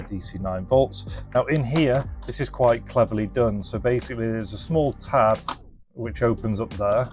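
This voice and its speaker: Man, low voice, informal explanatory manner, casual hands-on demo, thinking aloud, music behind. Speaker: presenter and reseller of restored retro electronics. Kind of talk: casual hands-on product demonstration.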